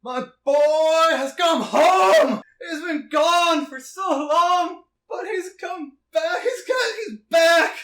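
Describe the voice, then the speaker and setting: A man's voice: very high voice, takes it slowly, few pauses, fairly bright tone, slight background noise, voice pushed up, heavily acted. A man voicing a big scruffy doting father in a tabletop game, gushing and fussing as he repeats himself. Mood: overjoyed shouting. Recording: roomy, slightly distant mic.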